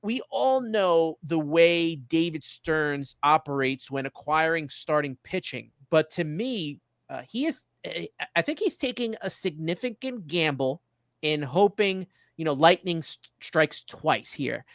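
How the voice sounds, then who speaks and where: A man's voice: a little high, animated, a little slow, a bit bright, no room sound, clean studio sound. A man hosting a sports podcast in a conversational interview style, laying out opinions and questions. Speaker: podcast host.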